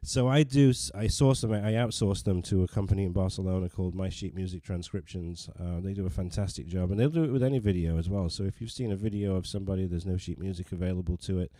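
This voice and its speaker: A man's voice: low-pitched, quick pace, few pauses, warm tone, no echo. A man hosting a casual livestream from his living room, rambling and self-deprecating, with many restarts as he chats with viewers. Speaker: livestream host and pianist. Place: living room, digital piano.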